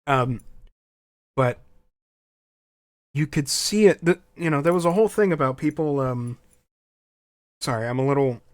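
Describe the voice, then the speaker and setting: A man's voice: a little high, a little slow, pauses often, dry recording, clean studio sound. A young man hosting a podcast, talking casually and opinionated, his voice slightly scratchy and stuffy from a cold, often restarting phrases. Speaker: podcast host. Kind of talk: casual solo podcast rant.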